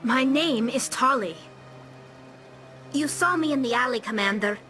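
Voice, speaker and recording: A woman's voice: sits high, expressive, measured pace, bright, forceful tone, soft background music, exaggerated voice. A young actress playing an earnest alien engineer in a video game, patiently explaining her people's ways. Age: young adult.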